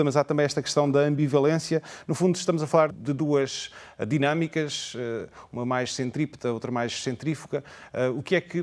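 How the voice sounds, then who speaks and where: A man, hosting a TV interview and framing questions in a measured way with small fillers. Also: mid-range voice, a little mellow.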